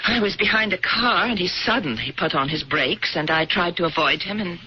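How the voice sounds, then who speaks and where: A woman's voice: on the low side, lively intonation, fairly quick, natural voice. An actress playing a nervous married woman shaken after a car crash, in a 1970s radio drama, her voice tremulous. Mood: uneasy. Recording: lo-fi old radio broadcast with hiss.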